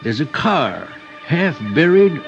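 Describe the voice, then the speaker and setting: An actor playing a folksy, wary old prospector with a husky voice and rustic American drawl in a 1950s radio drama. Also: male voice, a little high, very animated, slow pace, faint music behind, full character voice. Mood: hesitant, uneasy. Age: older. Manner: rustic, drawling colloquial talk. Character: folksy old male rock prospector, plain-spoken and wary. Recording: muffled vintage radio recording.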